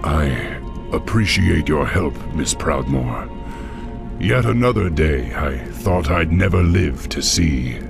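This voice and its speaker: An actor voicing a noble, earnest young orc war chief in a video game, with a deep gravelly voice and commanding manner. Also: male voice, animated, slow pace, over music, full character voice. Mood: somber.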